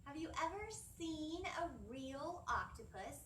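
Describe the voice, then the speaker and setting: Animated, high-pitched woman teaching small children. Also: a little slow, slight background noise. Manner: animated, singsong, asking questions of young listeners. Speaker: children's librarian storytime presenter. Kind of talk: children's storytime teaching, addressing young viewers. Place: indoor library children's area, seated.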